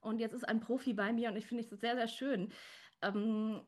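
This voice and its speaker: A woman's voice: on the high side, fairly even intonation, moderate pace. A woman hosting a casual podcast interview, chatty, with many fillers and restarts, and slightly congested from a cold. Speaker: podcast host.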